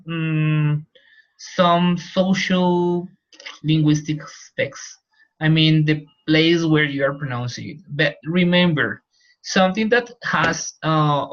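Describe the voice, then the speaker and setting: A man's voice: on the high side, a bit bright. A male teacher with a Colombian accent, explaining points in an online class and repeating key terms. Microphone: video call. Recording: muffled video call audio.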